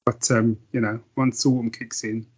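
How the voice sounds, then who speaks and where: A man's voice: medium pitch, little pitch movement, normal speed, slightly rough. A man co-hosting a casual podcast, easygoing and rambling, with self-deprecating asides. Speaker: podcast co-host. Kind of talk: casual chat.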